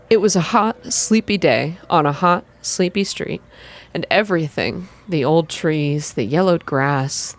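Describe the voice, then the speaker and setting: A woman reading a story aloud in an ASMR style, with expressive, deliberate first-person narration. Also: lowish voice. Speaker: reader of a visual novel. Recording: soft music behind the voice. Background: background music.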